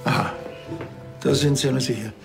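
A man's voice: medium pitch, fairly even intonation, normal speed, close to an everyday voice. A man playing a laconic police detective in a TV crime comedy, probing dryly and casually. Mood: deadpan. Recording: music clearly behind the voice.

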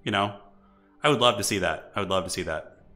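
A man live-streaming a strategy game, chatting casually and enthusiastically with viewers in a slightly raspy voice from an irritated throat. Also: deep voice, a little fast, sharp tone, faint music behind. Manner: enthusiastic, informal, thinking aloud. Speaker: livestreamer, esports website organizer.

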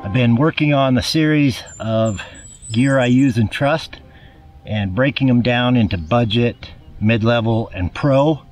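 A middle-aged man casually reviewing his camping tools to camera, relaxed and jokey, recorded outdoors in open scrubland. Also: mid-range voice, a little slow, soft background music. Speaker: outdoor gear vlogger.

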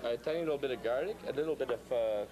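A man in his thirties talks casually as a guest chef, explaining cooking steps at a medium pitch. A busy restaurant murmurs and clatters behind him. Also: rapid-fire, a crowd in the background. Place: restaurant bar room, indoors.

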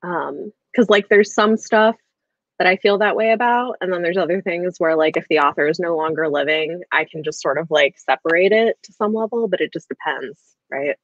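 Woman, thirties, book livestream host: lowish voice, rambling and informal, close dry sound with slightly muffled video-call quality. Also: normal speed, dry recording. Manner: hesitant, rambling with frequent "like". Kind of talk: casual livestream book chat. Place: small room at home, video call. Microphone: earbuds on a video call. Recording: dull video-call audio.

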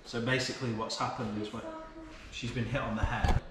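A man's voice: medium pitch, little pitch movement, fairly quick, very noisy. A man with an English accent, a worker at a sea turtle rescue centre, patiently explaining to a visitor with the odd "um". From England. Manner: patient, informative, with filler "um"s. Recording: very echoey, loud crowd behind.

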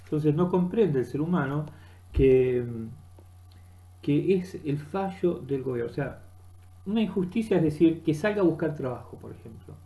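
A middle-aged man with an Argentine accent giving an unscripted, halting monologue that rambles as he searches for words, recorded on a webcam in a room. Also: on the high side, expressive, warm tone. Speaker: vlogger. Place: small room indoors. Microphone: webcam at desk distance.

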